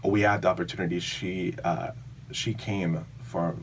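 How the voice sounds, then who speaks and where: A low-pitched man in his thirties, originally from Venezuela, telling stories in a chatty, animated way as a podcast guest. He sits in a small foam-walled studio before a boom-arm mic, his voice a touch distant from it, with a faint hiss underneath. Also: measured pace. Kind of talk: casual podcast chat. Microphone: studio mic on boom arm, headphones.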